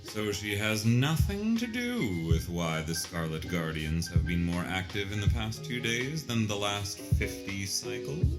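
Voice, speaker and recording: An actor playing a suave, pompous corporate officer in an audio drama, shrewd and dry, with formal, polished, wordy speech. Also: male voice, on the low side, expressive, a little slow, hardly any pauses. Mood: probing. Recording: loud music bed under voice.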